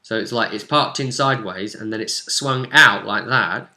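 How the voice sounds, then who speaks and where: A British man chatting casually as a game master, retelling a tabletop session in an animated way and acting out what characters said. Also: fairly low pitch, moderate pace. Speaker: tabletop role-play game master and co-host.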